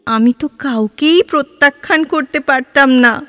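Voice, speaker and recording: A woman's voice: high-pitched, unhurried, fairly bright tone, dry recording. A woman playing a free-spirited, inquisitive, romantic young woman in an audio drama, with a playful, probing and theatrical delivery. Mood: dreamy, musing. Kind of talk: Bengali audio drama dialogue.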